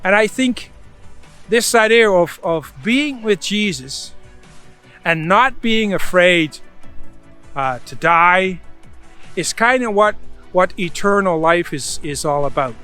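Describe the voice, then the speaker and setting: A man with a high-pitched voice hosts a casual storytelling devotional. He talks in a folksy, conversational manner, often dropping in "you know", over faint music. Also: expressive, slow pace, long pauses, bright, forceful tone. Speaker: host, Bible storyteller.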